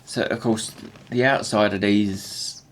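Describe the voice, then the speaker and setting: Man, croaky morning voice, halting repeated words, casual tutorial talk, faint workshop hum behind. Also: on the low side, fairly even intonation.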